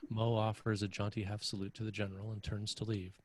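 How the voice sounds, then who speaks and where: A man narrating scene directions for a screenplay table read in a scripted style, with a husky voice. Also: fairly low pitch, level tone, fast, darkish tone. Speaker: narrator reading stage directions.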